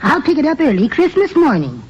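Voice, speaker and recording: A man's voice: extremely high pitch, huge pitch swings, brisk, exaggerated voice. A man playing a meek, mild, henpecked little neighbour with polite talk in 1950s radio comedy style. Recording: muffled old radio recording, faint noise.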